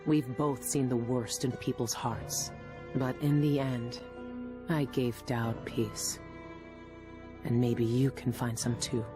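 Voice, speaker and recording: An actress playing a weary, compassionate former assassin in a video game cutscene, speaking in an earnest, measured, solemn way. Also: female voice, extremely low pitch, fairly even intonation, music in the background. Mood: reflective, gentle.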